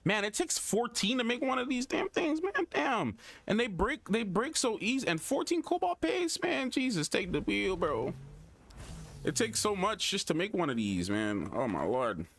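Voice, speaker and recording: A man's voice: high voice, big pitch swings, normal speed, fairly bright tone, no echo. Chatty Guyanese American man, dry close sound. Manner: chatty, thinks aloud, mutters. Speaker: gaming streamer. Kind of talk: casual gameplay commentary.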